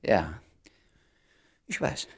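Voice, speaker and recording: An actor playing a nosy, flustered male doctor who probes and pretends not to care, in a German radio play. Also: drawn-out words. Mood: awkward, needling. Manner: probing, hesitant, falsely casual.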